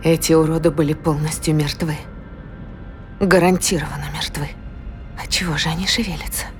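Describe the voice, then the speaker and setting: A woman's voice: low voice, fairly even intonation, fairly quick, soft background music, close to an everyday voice. An actress playing an anxious, bewildered woman scientist in an audio drama. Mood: shaken disbelief. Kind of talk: dramatised audio play acting.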